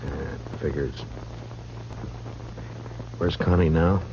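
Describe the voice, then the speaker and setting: A very deep, dry male voice for a stern frontier lawman in an old radio western. He is laconic and probing, heard through a muffled vintage recording with hum. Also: fairly even intonation, very slow, heavily acted. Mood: terse, probing. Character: stern, laconic middle-aged frontier marshal. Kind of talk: 1950s radio western acting.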